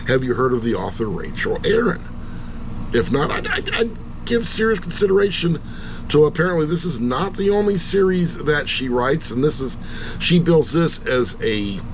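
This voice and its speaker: A middle-aged or older man giving a casual, rambling review in a wry, self-deprecating way, as the host of his own channel. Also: mid-range voice. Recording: steady loud air-conditioner-like background hum.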